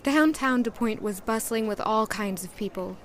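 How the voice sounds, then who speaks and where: A woman's voice: a little high, normal speed, lightly acted. An actress narrating as a young female castle maid, in an earnest, introspective first-person way. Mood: reflective. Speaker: narrator and lead character.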